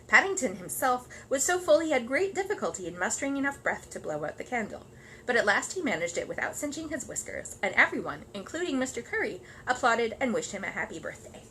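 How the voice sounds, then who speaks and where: A woman reading a children's story aloud in animated storybook narration. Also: on the high side, slight background noise, poor audio.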